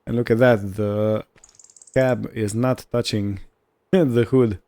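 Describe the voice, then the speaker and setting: Slow, mellow low-voiced man narrating gameplay. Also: steady tone. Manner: musing aloud, narrating own actions. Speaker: gaming video host. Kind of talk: casual roleplay gameplay commentary.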